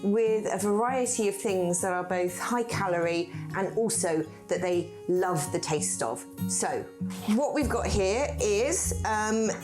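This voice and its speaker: A woman's voice: mid-range voice, animated, slow, over music. A middle-aged woman hosting a pet show in a chatty, upbeat way, a little tired. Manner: chatty, upbeat, laughs easily. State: tired after a vaccination. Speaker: show host and ferret keeper. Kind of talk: casual presenting to camera.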